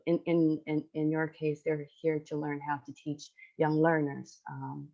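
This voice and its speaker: Taiwanese woman, chinese native speaker, deep flat voice, hesitant, chatting on a muffled online meeting. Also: measured pace, vocal fry. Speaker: online teacher-training participant and educator. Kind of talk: informal discussion in an online meeting. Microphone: online video call.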